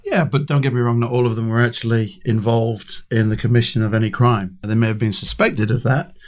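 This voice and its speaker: Croaky man telling stories. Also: mid-range voice, steady tone, a little fast. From England. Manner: anecdotal, self-deprecating, laughs easily. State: croaky from a cold. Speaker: guest, former detective. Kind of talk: casual storytelling interview.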